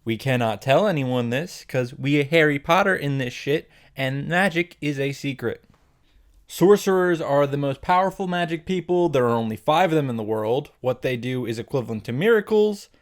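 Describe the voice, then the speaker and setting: Brief: male host for comic story recaps, sarcastic, irreverent, happy to swear, clipped punchy lines, medium pitch. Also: lively intonation, moderate pace. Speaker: host of a visual novel summary series. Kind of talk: comedic recap narration.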